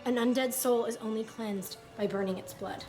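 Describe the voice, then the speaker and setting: A woman's voice: a little high, fairly even intonation, moderate pace, lightly acted, poor audio. An actress playing an intense, grieving young woman in a horror film, with music underneath. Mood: urgent, earnest. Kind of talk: dramatic film acting.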